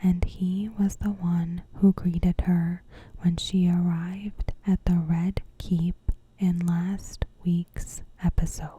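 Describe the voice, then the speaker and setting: A woman softly whispering a scripted recap in a gentle, measured, breathy ASMR style. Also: on the low side, flat delivery. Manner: gentle, measured, careful phrasing. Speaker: ASMR host.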